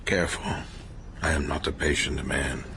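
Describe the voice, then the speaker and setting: An actor playing a self-important, menacing American gangster in a radio drama, deliberate and pompous, impatient yet courtly. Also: male voice, a bass voice, steady tone, vocal fry, a crowd in the background. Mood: wary, gruff.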